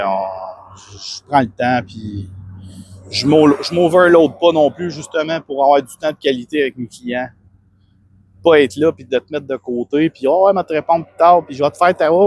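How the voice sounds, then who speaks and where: Québécois guy coaching pitch, kinda husky, a bit high, dry close sound. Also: no room sound. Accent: Québécois French. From Quebec. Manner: rambling, earnest, many restarts and fillers. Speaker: fitness coach. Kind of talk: casual self-promotional monologue.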